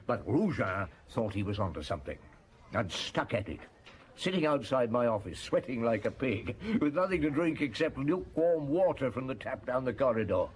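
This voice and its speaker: An actor playing a weary, wry French police chief inspector in a radio drama, speaking conversationally. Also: male voice, mid-range voice, big pitch swings, moderate pace, slightly rough. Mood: reminiscing. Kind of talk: radio drama dialogue and reminiscence.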